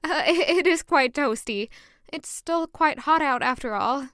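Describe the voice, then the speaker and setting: A woman's voice: high voice, expressive, a little slow, a bit bright, no echo, clean studio sound, full character voice. A woman playing a flustered, demure young maid given to romantic daydreams in an audio drama. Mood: flustered, polite. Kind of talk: audio drama acting with first-person narration.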